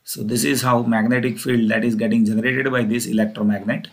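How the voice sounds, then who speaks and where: Guy doing an engineering lecture, explains stuff step by step, repeats himself, medium pitch. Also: little pitch movement, a little fast, gravelly.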